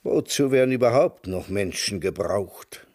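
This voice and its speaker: An actor in a radio drama playing an old, retired emigrant veteran who speaks in a measured, wry, reminiscing way. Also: male voice, fairly low pitch, lively intonation, darkish tone, no room sound, clean studio sound. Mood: dry, wry. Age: older. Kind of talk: German radio drama acting.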